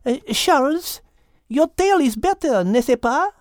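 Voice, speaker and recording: A woman's voice: high voice, lively intonation, takes it slowly, a little mellow, no echo, studio quality. A woman voicing a polite, genteel French cat in a children's story podcast, speaking with a French accent. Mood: gracious.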